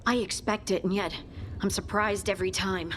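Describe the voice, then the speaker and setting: Earnest, plucky fantasy game fighter voiced by a woman, medium pitch, sincere conversational delivery, faint noise behind. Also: steady tone, normal speed, fairly bright tone, close to an everyday voice. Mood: wry, worried. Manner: plain-spoken, sincere, conversational. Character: earnest, plucky female fighter, loyal and determined. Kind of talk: scripted fantasy game dialogue.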